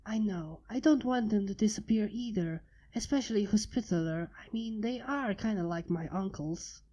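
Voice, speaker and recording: A woman voicing a young pony filly in a soft, higher voice than her narration. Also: normal speed, highs cut off, lightly acted. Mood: tender.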